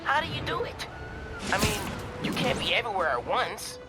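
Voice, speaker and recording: An earnest teenage boy, a curious novice hero in a video game. His voice sits high and his delivery is casual and eager. Loud music runs behind it. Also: big pitch swings, moderate pace. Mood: curious, unsure.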